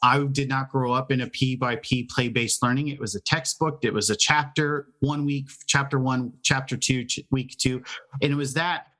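A man speaking as the host of a podcast, addressing his listeners in clear, organised phrases. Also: mid-range voice, steady tone, normal speed, sharp tone. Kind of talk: conversational podcast hosting.

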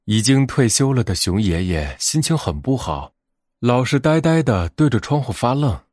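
A man's voice: mid-range voice, big pitch swings, moderate pace, darkish tone, no room sound, clean studio sound. A man narrating a scripted children's fairy tale as a bedtime storyteller.

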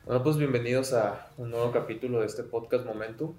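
A man's voice: medium pitch, fairly quick, reverberant. A man in his twenties from Sinaloa with a Mexican accent, hosting a casual podcast with lots of fillers. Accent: Mexican Spanish. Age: 20s. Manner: relaxed, chatty, many fillers like "pues". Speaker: podcast host. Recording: faint music, some outside noise.

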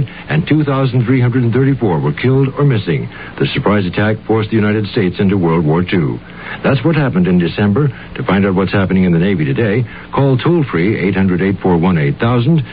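A man announcing a radio ad, reading facts in a brisk, even way. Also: lowish voice. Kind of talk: read radio ad. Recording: tinny phone-like radio sound with faint hiss.

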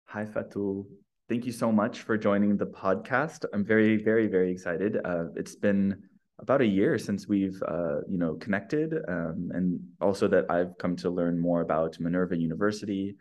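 A man's voice: deep voice, moderate pace, darkish tone, muffled recording. A man hosting a podcast, introducing his guest in a casual, friendly way with lots of fillers and restarts. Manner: friendly, rambling with many fillers. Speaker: podcast host, researcher and educator.

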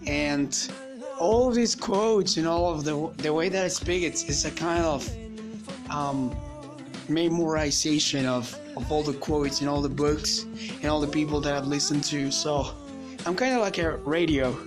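A man's voice: on the high side, a little slow, music in the background. A man whose first language is Spanish, giving a rambling, motivational solo podcast monologue in casual chat.

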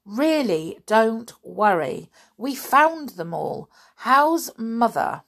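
A woman's voice: fairly high pitch, big pitch swings, extremely slow, creaky, fairly bright tone, pitched above the performer's own voice, exaggerated voice. A woman voicing a headstrong, impatient young tomboy girl in a children's story. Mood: reassuring.

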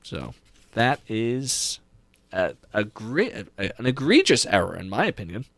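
A man's voice: on the low side, very animated, measured pace, fairly bright tone, dry recording. A man hosting a podcast, casually recapping a book with read-aloud passages and opinionated, conversational asides. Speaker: podcast host.

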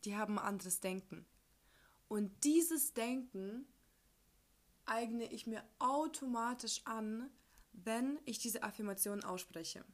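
A woman's voice: a little high, slow. A young woman from Ukraine hosting a casual personal podcast, speaking informally with youth slang and bits of English mixed in. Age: young adult. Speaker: podcast host.

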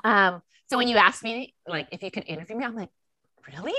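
A Californian woman speaks in a bright, forward voice with very little room sound. She is a podcast guest telling personal stories in casual, animated chat, often slipping into quoted dialogue. Her pitch sits in the middle of the female range. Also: brisk, no echo, studio quality. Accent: Californian American. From Los Angeles, USA.

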